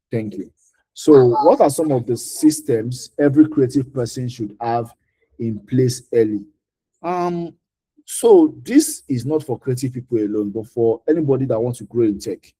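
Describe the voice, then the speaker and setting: A man's voice: mid-range voice, warm tone, slightly rough, rough recording. A man with a Nigerian accent giving informal career advice in a mentoring session, repeating key points for emphasis. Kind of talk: informal advice talk answering audience questions.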